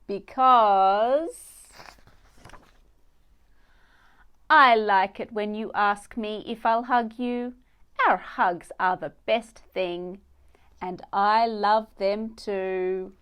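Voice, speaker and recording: A woman voicing a gentle, loving mother mouse who soothes and reassures in a children's storybook. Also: mid-range voice, slow, clean tone. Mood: affectionate. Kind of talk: children's storybook read-aloud.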